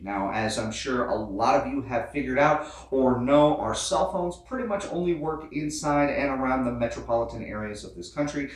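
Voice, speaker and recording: An American man hosting an explainer vlog, talking informally and directly to his viewers. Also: mid-range voice. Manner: informal, addresses viewers as guys. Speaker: host of a Jeep off-road channel. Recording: very echoey, distant mic.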